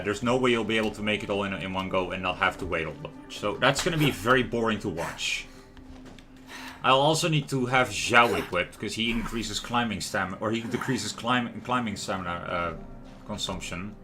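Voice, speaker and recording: A man hosts a casual game livestream. His voice sits fairly low but has a bright, forward edge. He rambles chattily to his viewers while soft music plays behind him. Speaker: livestream host. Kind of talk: casual gameplay livestream commentary. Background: lo-fi music and game sound.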